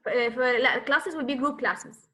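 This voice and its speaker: A woman from Egypt, a native Arabic speaker with an Egyptian accent, introducing herself as a teacher at an online orientation, pausing often with fillers and "okay". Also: sits high, sharp tone. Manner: hesitant, many fillers and "okay"s. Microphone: video call.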